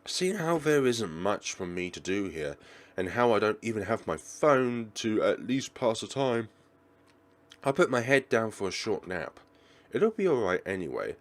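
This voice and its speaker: A man hosting a let's play, reading visual novel narration aloud in a theatrical, expressive way. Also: lowish voice.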